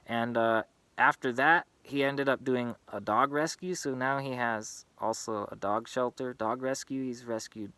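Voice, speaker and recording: A man's voice: mid-range voice, a little slow, pushed and bright, clean tone, no room sound. A man who grew up in East Africa, talking in an earnest, impassioned, unscripted monologue to his own channel. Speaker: vlogger.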